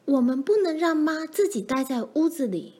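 A woman voicing a fearful, cautious young girl in a storybook, speaking in a soft, timid whisper. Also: high voice, lively intonation, moderate pace, pitched above the performer's own voice. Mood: anxious resolve. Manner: timid, hushed.

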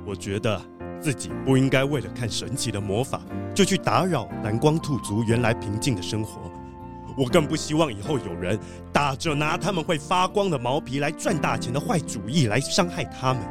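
An actor playing a kindly, principled gentleman treasure collector, earnest and expressive, in a children's audio drama. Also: medium pitch, brisk, music in the background, lightly acted.

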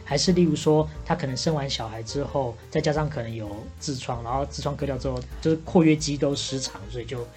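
Low-voiced, quick-talking Taiwanese woman. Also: darkish tone. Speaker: podcast co-host playing bartender. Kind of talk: casual comic chat. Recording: faint music behind. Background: faint background music.

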